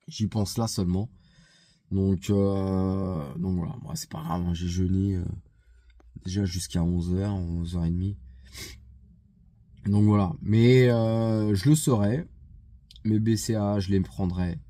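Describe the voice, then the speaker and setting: A man with a stuffy head cold talks slowly in a deep, mellow voice, pausing often on fillers and starting over. The sound is dry and close. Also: no room sound. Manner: hesitant, many fillers and restarts. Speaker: vlogger. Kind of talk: casual vlog chat. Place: outside a gym.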